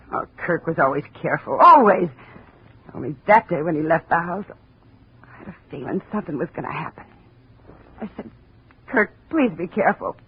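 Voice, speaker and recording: An actress playing a grieving widow who speaks quietly and earnestly in a 1950s radio drama. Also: female voice, medium pitch, husky. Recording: dull old radio broadcast.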